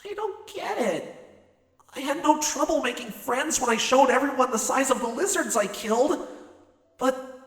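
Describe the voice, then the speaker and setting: A woman's voice: high voice, lively intonation, moderate pace, faint music behind. A woman voicing a lonely, earnest young changeling boy, musing plaintively in a cartoon-style audio drama. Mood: puzzled, plaintive. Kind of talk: audio drama fan reading. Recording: echoey hall, distant reverb.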